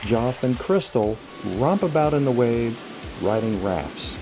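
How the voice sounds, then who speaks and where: A man's voice: mid-range voice, lively intonation, takes it slowly, rough recording. Male narrator over faint background effects. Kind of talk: scripted narration of screenplay directions. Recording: faint music and birdsong effects behind.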